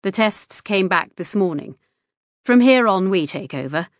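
Woman voicing a male hospital consultant, formal, clipped, composed, middle pitch, dry close sound. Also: animated, unhurried, no echo, lightly acted. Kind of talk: audiobook character reading.

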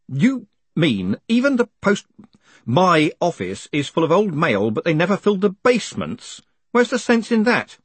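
A man's voice: sits high, huge pitch swings, moderate pace, breathy edge, no echo, voice pushed up, exaggerated voice. A man voicing a weary, sceptical man in charge, dry and sardonic, in an audiobook. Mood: exasperated. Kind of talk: audiobook character acting.

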